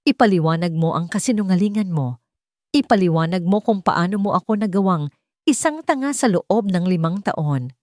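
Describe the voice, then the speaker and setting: A woman's voice: lowish voice, animated, moderate pace, a little mellow, no room sound, close to an everyday voice. A bitter, wounded husband in an audio drama , played by a woman. He is accusing and commanding, with a mocking edge. The sound is dry and close. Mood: angry demanding.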